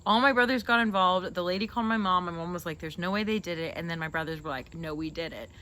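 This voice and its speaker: A young woman chatting casually and telling stories in an animated, teasing, joking way. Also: fairly low pitch, quick pace. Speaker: host interviewing a sibling. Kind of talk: casual chat and storytelling. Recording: noisy, with clear background noise.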